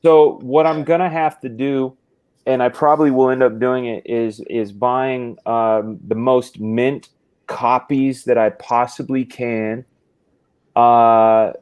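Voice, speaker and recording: A man's voice: medium pitch, fairly even intonation, slow, clean tone, muffled recording. A man talking as a guest artist in a casual video-call chat, explaining things at length with many restarts.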